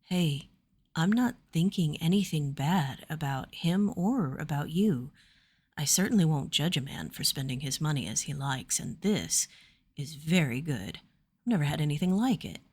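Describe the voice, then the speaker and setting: A woman narrator voicing a tired, kindly young male warrior in a fantasy story, quiet and unhurried. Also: sits low, lively intonation, breathy edge, full character voice. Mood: reassuring.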